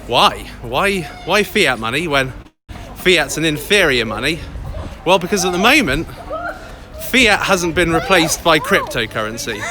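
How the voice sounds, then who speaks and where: An English man in a loud, chatty, upbeat manner, vlogging live from a busy city street into a handheld phone. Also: a little high. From England. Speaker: crypto vlogger. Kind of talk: casual live vlog. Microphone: phone held by hand, close. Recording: outdoor street noise. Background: city traffic and passers-by.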